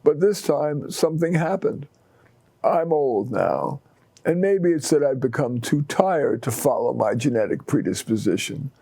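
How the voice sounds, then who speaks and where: Man reading as a devoted old dog, mellow voice. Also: mid-range voice, lively intonation, unhurried, vocal fry, slightly rough, no room sound, full character voice. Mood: wistful, plain-spoken. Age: older. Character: tired old male dog, devoted and tender storyteller. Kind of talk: first-person short story reading.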